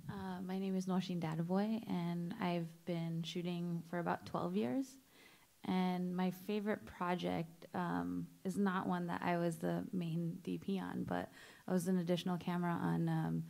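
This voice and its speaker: A woman introduces herself on a filmmakers' panel in a low, flat voice. Her tone is mellow and gravelly, and she speaks in a calm, measured way. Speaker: documentary cinematographer, panelist. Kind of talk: panel discussion.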